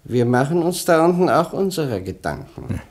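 An actor with a Viennese accent playing a deferential but suspicious minor official in a 1960s radio play, formal and insinuating. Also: male voice, a little high, big pitch swings, normal speed, darkish tone.

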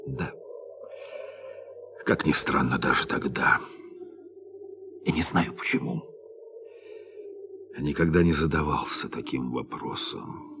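An actor playing a grave, dutiful spaceship captain in a radio play, often hushed to a near whisper. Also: male voice, a bass voice, takes it slowly, long pauses, background noise, full character voice. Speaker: ship captain.

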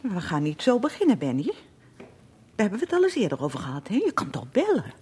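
A nagging, animated middle-aged mother. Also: mid-range voice, fast talker, breathy edge, full character voice. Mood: nagging, baffled. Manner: chattering, scolding, self-justifying. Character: defensive, nagging, uncomprehending mother of a troubled son. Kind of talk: Dutch radio play acting.

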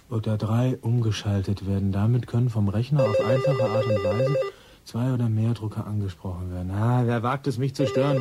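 A man's voice: mid-range voice, dark tone, a little hiss or hum, natural voice. An actor playing a self-important, mildly grumpy policeman in a radio drama, officious and colloquial. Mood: pedantic reading, then irritated, flustered. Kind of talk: naturalistic radio drama acting.

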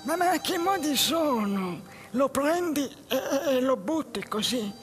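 Slow, high-voiced dejected jobless man. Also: lively intonation. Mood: hurt, bewildered. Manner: halting, repeats phrases. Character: dejected, bewildered man who lost his job. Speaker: stage actor. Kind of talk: theatrical monologue. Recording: music behind the voice.

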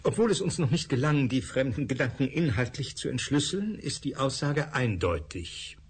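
An actor playing a male scientist explaining findings deliberately in a radio play. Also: medium pitch, big pitch swings, a little hiss or hum.

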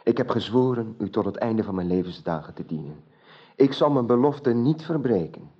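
A man plays a devoted knight in a radio drama, guarded and troubled. His low voice moves expressively, on a slightly muffled recording. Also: lively intonation, moderate pace, lightly acted. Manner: guarded, earnest. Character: loyal knight, troubled, restrained and courteous.

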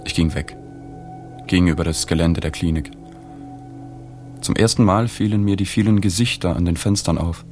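An actor playing a reserved journalist who narrates a radio drama in the first person, restrained and matter-of-fact. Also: male voice, low voice, normal speed, pauses often, darkish tone, faint music behind, close to an everyday voice. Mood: sober, reflective. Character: reserved, observant male journalist recounting a sad story.

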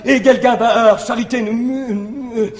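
A man's voice: very high-pitched, fairly even intonation. An actor voicing a threatening, blustering swordsman who stammers and repeats words in a farcical stage comedy. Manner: halting, stammering, repetitive, exclamatory. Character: blustering, threatening male swordsman hunting an old gentleman. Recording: echoey old live theatre recording, hiss.

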